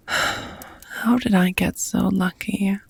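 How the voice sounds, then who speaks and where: A woman playing a seductive, doting vampire roommate in an intimate ASMR romance roleplay, whispering softly with teasing, formal endearments. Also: medium pitch, no echo, full character voice. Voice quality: soft, breathy whispering. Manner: slow, sultry, formal wording with pet names.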